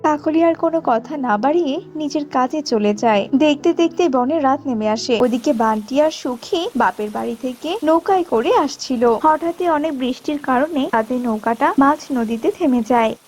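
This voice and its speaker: A woman's voice: high voice, brisk, hardly any pauses, a little mellow, soft background music. A woman reading scripted cartoon narration as the storyteller.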